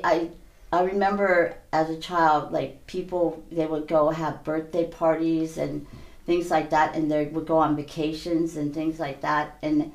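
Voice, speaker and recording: A woman from Hawaii and California, telling her own story casually and haltingly as an interview guest. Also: deep voice. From Hawaii and San Mateo County, California. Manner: conversational, halting, repeats "you know". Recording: roomy, echoey space.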